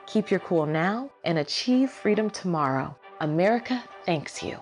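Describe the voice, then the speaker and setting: A woman playing a catty announcer in a parody wartime radio commercial, reading a scripted persuasive pitch. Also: expressive, normal speed, soft background music, lightly acted. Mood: smug, persuasive. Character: catty female pitchwoman in a spoof wartime advert.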